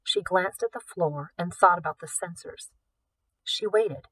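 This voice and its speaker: A woman reading a story aloud in an expressive, scripted narration style. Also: on the low side, measured pace, husky, poor audio. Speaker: audiobook reader, teacher.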